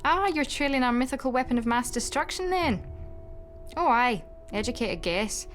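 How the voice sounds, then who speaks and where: An actress playing a dangerous Scottish mage in a game, with a dry, sardonic delivery and a Scottish accent. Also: female voice, on the high side, expressive, normal speed, faint music behind, natural voice. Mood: wry.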